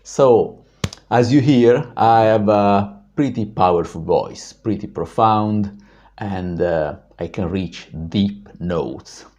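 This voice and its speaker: A man with a deep baritone voice tells a personal story as a vlog host, reflective and full of pauses and fillers. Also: warm tone, dull audio.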